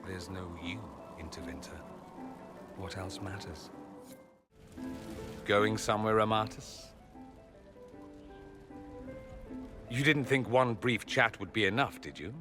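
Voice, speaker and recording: A man's voice: on the low side, measured pace, pauses often, over music, close to an everyday voice. A male actor playing a witty, theatrical, aristocratic mage in a video game, speaking with wry, eloquent irony. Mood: teasing affection.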